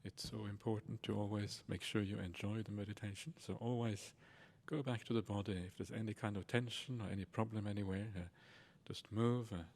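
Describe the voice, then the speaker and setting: A man leading a guided meditation as a teacher, speaking in a soothing, unhurried and reassuring manner. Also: fairly low pitch, fairly even intonation, darkish tone. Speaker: Buddhist monk and meditation teacher.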